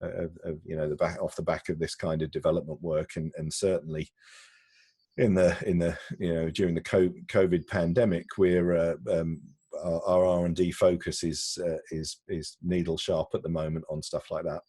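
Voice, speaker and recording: A man answering questions in a conversational video-call interview, halting and often repeating words as he explains. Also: sits low, flat delivery, dark tone, slightly rough, no echo. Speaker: interviewee, broadcast technology executive.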